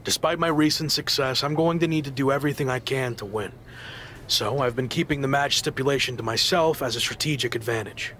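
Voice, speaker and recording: An actor playing an earnest, determined pro wrestler on a comeback, talking in a measured, conversational way in a video game cutscene. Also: male voice, mid-range voice, sharp tone, slight background noise, close to an everyday voice. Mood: determined, calm.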